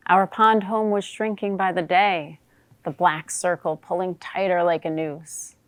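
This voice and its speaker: A woman performing a dramatic monologue as a wry, watchful female newt, in measured theatrical storytelling. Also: fairly low pitch, level tone, no room sound, lightly acted. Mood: somber, wry.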